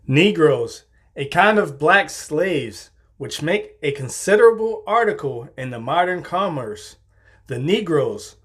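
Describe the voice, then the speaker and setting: A man reading scripted narration in a formal, measured way, like reference-book entries. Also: a little high.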